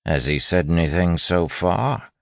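A man voicing a cocky, brash lawman who talks in a forceful, folksy, bullying way, as in an audiobook. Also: deep voice, a little slow, vocal fry, dry recording, pitched above the performer's own voice. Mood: brash, firm. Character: cocky, brash lawman bluffing in a stern constable voice. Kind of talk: audiobook character acting.

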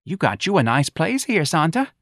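A timid, fretful older mother, as a male narrator voices her, pitched high above his own voice in a plaintive whine. She gossips and frets in broken grammar. The read is close and dry. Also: sing-song, moderate pace, fairly bright tone, dry recording, full character voice. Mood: gossiping.

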